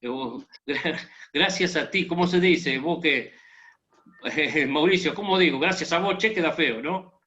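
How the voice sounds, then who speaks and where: Argentine man, guest on a video call, bright slightly high voice, chatty with fillers, dull lo-fi sound. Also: unhurried. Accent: Argentine Spanish. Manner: chatty, joking, many fillers. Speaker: guest speaker. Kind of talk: casual online conversation.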